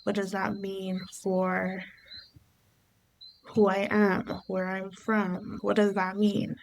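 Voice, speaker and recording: A woman from Barbados hosting her own podcast, talking through her thoughts in an unhurried, reflective way. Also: medium pitch, monotone, a little hiss or hum. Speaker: podcast host. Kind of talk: reflective solo podcast monologue.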